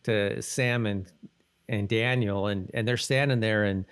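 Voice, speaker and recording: A man co-hosting a podcast, chatting casually and haltingly, repeating words as he thinks aloud. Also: on the low side, fairly even intonation, smooth. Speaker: podcast co-host. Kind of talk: casual chat about a TV episode.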